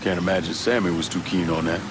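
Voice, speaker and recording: An actor playing a laconic, wary young American war veteran in a video game, speaking in dry, casual slang. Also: male voice, deep voice, little pitch movement, fast talker, close to an everyday voice. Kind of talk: acted game dialogue, casual banter. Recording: car engine noise behind. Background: moving car ambience.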